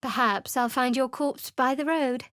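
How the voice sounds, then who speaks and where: A guarded young cleric speaks dryly and warily. The voice sits fairly high and sounds close and dead-dry, with no room around it. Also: female voice, a little slow, a bit bright, no echo. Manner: dry, cutting, wary. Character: guarded sardonic young woman cleric adventurer. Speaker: game character. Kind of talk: studio game voice acting and narration.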